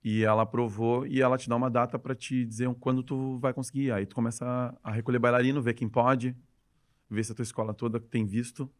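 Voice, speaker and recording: A man with a gaúcho Brazilian accent speaks at a quick clip and spills one story into the next. He is a guest on a podcast, talking about his dance work with open enthusiasm and a voice at an ordinary middle pitch. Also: level tone. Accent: Gaúcho Brazilian Portuguese. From Rio Grande do Sul, Brazil. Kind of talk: casual interview chat.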